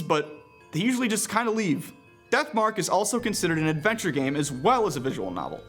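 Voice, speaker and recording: A man's voice: a little high, a bit bright. A man narrating a scripted video game review in a playful, conversational manner, with casual asides and emphatic delivery.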